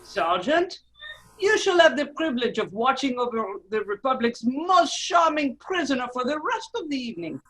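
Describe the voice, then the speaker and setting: A woman's voice: high-pitched, very animated, moderate pace, fairly bright tone, poor audio. A woman playing a cold, menacing male French revolutionary agent in an amateur radio play, clipped and coolly formal. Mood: dismissive. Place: remote virtual table read.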